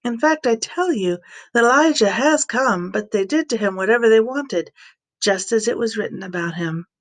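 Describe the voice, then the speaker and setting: A woman's voice: a little high, expressive, normal speed, close to an everyday voice. A woman voicing an authoritative male teacher and healer in a scripture reading, firm and instructive. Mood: solemn teaching.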